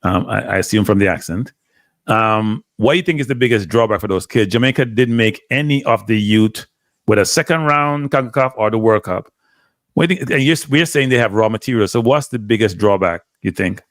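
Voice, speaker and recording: A man's voice: lowish voice, brisk, no room sound. Male interviewer, probing, teasing, dry close sound. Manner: probing, teasing, brief acknowledgements. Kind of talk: interview questions.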